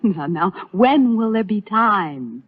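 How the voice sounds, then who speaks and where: An actress playing a gentle, patient young bride-to-be, the heroine of an old radio soap opera. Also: female voice, medium pitch, very animated, takes it slowly, husky, dry recording, exaggerated voice. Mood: fond, amused. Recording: lo-fi, faintly noisy old radio recording.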